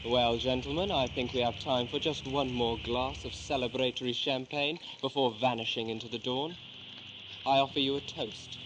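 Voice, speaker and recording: A man acts a suave, ruthless chief conspirator in a period audio drama. His mid-pitched voice is formal and measured, with smug politeness. There is plenty of noise on the recording. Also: fairly even intonation, natural voice. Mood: self-satisfied. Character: smug, suave, ruthless leader of gentleman plotters. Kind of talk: historical audio drama acting.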